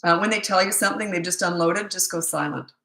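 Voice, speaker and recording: A woman's voice: on the low side, quick pace, vocal fry, pushed and bright, roomy sound. A woman in her sixties with a cold, her voice giving out, giving blunt, matter-of-fact parenting advice on a live stream. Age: 60s. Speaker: parenting coach.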